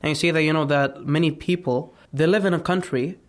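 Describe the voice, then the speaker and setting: A man with a slightly raised pitch joins a radio talk show discussion. He keeps going in a chatty, loose way, filling his sentences with filler words and restarting his phrases. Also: steady tone, brisk. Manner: chatty, leans on "like" and "you know", repeats himself.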